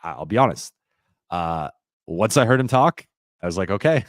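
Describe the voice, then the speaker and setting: A man hosting a live-stream Q&A, talking casually and rambling with lots of fillers and restarts, his voice a little raspy. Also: low-pitched, animated, moderate pace, no echo, studio quality. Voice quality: slightly raspy voice.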